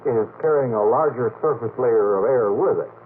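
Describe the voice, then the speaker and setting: A man on a radio panel explaining technical points casually, in a measured but hesitant way. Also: mid-range voice. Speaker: panelist, machinist. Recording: noisy vintage radio, narrow tinny band.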